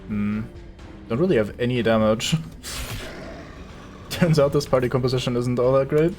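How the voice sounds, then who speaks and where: A German-accented man streams a game and narrates his moves in a casual, wry way. He teases his own party and swears freely. His voice sits mid-pitch over faint background music. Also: unhurried, a little mellow. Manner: sarcastic, joking with the game, swears. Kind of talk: casual gameplay commentary.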